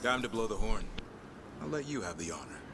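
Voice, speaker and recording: An actor playing a gentle, watchful griffin keeper in a fantasy video game, with a measured, earnest manner. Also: male voice, fairly low pitch, big pitch swings, noisy background. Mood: calm, instructive.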